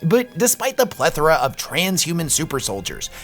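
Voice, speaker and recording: Man, raspy with a cold, scripted narration, lively pitch, faint music. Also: normal speed. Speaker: narrator of a countdown video.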